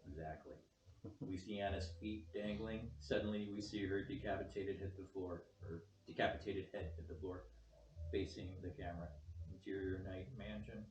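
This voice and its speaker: A man's voice: low voice, fairly even intonation, normal speed, darkish tone. A man reading out scripted stage directions as the director at a film table read. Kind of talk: scripted narration of screen directions. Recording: distant mic, echoey room, faint noise.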